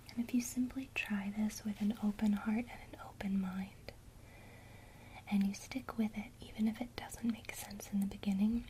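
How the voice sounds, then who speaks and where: Woman whispering asmr style, soft and mellow, slow soothing guidance, faint hiss behind. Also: mid-range voice, rough recording. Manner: slow, soothing, intimate, addresses the listener directly. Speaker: ASMR host teaching witchcraft. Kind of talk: whispered ASMR guided visualization.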